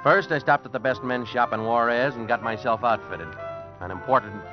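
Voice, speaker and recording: An actor playing a tough American drifter, terse and hard-boiled, in a 1940s radio drama. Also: male voice, medium pitch, normal speed, lightly acted. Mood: grim, self-satisfied. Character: tough, broke American drifter out to get even. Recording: muffled old radio recording, music behind.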